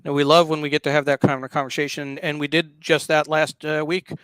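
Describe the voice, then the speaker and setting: Male host, slightly higher voice, dry sound, casual live commentary, halting explanatory delivery. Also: level tone, fairly bright tone, clean tone, dry recording. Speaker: show host. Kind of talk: casual live talk walking through a newspaper.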